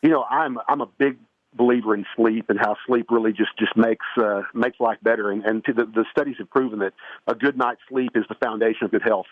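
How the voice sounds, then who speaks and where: A man's voice: fairly low pitch, steady tone, brisk, dry recording. A man giving home improvement advice as a radio show guest, talking in a folksy, rambling way with many restarts. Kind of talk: casual radio interview. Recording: tinny phone-line sound.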